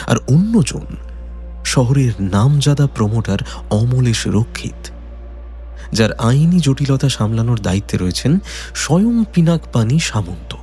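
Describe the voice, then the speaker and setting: A man giving a dramatic, suspenseful scripted narration of a detective story in a measured way. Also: mid-range voice, warm tone.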